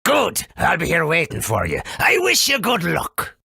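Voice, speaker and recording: A man voicing an eccentric, excitable rustic guide in a video game, with a gravelly, rambling delivery. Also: fairly high pitch, dry recording, studio quality, heavily acted. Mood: encouraging. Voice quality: gravelly, raspy. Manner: halting, rambling, exclamatory. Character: eccentric rustic guide giving ominous warnings, forgetful and excitable.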